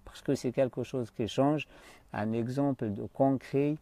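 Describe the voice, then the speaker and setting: A man's voice: medium pitch, steady tone, measured pace, dark tone, no room sound. Hesitant man coaching live. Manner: hesitant, often searching for words. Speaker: life coach hosting a live stream. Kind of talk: unscripted live-stream talk to viewers.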